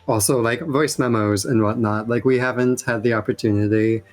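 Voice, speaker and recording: A man chatting casually and haltingly as an amateur voice actor on a panel, rambling a little. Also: medium pitch, fairly even intonation. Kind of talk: casual chat.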